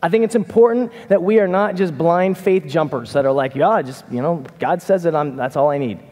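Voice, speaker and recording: A middle-aged man preaching a conversational sermon from a church stage, animated and casual. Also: a little high, brisk. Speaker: pastor. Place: church stage in a large hall. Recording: reverberant hall.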